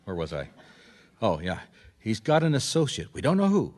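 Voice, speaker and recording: A man's voice: on the low side, huge pitch swings, moderate pace, darkish tone. An actor playing a bossy, impatient male private detective in a radio mystery, sarcastic and commanding as he interrogates. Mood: stern, knowing. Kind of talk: radio detective drama acting.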